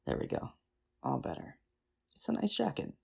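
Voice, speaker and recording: A performer in an ASMR roleplay playing a nerdy, earnest high school teacher, rambling with hesitant, self-deprecating charm in an intimate, conversational way. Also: huge pitch swings, unhurried, gravelly, a little mellow, husky, exaggerated voice. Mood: playful, friendly.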